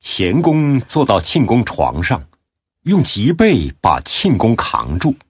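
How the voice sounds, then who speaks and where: A man narrating a scripted story as an audiobook narrator, in a deep, husky voice. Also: huge pitch swings, slow pace, no room sound, studio quality.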